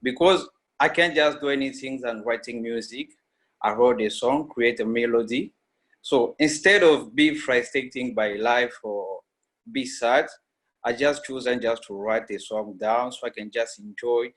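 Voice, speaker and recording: A man from Congo whose first language is Swahili introduces his own songs. His voice sits at a medium pitch for a man. He speaks plainly and steadily, pausing a little now and then. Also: fairly bright tone. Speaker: musician. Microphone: video call.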